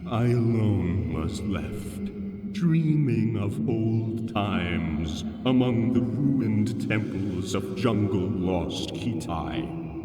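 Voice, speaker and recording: An actor playing an ancient, enslaved alien god in a fantasy audio drama, solemn and sorrowful, with grandiose archaic phrasing. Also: male voice, lowish voice, dark tone. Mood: grave, mournful. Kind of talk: full-cast fantasy audio drama monologue. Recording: cavernous echoing reverb, distant, music underneath. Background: music.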